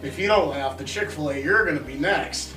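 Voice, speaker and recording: Man reading a higher-pitched defensive comedy buddy, loud music. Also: a little fast, lightly acted. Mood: teasing. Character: defensive, quick-comeback male buddy in a suit. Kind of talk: casual live comedy table read. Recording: roomy distant mic, loud music behind.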